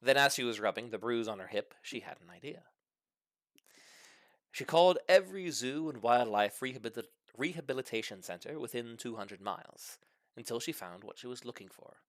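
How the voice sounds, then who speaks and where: A man's voice: medium pitch, expressive, normal speed, no echo. A man reading a funny short story aloud as scripted narration, with theatrical, mock-serious emphasis. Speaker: story reader.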